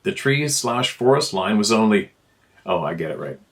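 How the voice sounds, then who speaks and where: Low-pitched man reading a story aloud. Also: very animated, moderate pace, fairly bright tone. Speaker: narrator reading listener emails. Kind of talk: informal read-aloud of a first-person story.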